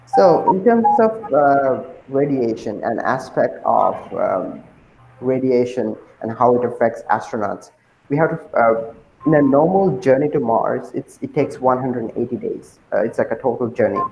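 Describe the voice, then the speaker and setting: A young man from Sri Lanka answering interview questions as a guest, pausing often with ums and uhs. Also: medium pitch, animated, creaky, a little mellow, roomy sound, dull audio, a little hiss or hum. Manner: hesitant, many ums and uhs.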